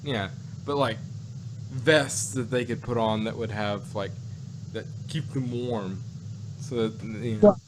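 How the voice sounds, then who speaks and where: Unhurried, wry man over background noise. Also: mid-range voice. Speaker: podcast co-host. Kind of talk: casual review chat.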